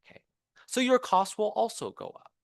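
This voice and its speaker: A man lectures like a professor at a seminar, conversational and engaged. His pitch moves up and down a lot for emphasis, and the sound is close and dry. He explains by posing questions to his listeners. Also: moderate pace, creaky, dry recording. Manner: animated, conversational, explains with rhetorical questions and acted-out quotes. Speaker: university professor giving a seminar talk. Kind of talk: engineering lecture. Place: seminar room at a university.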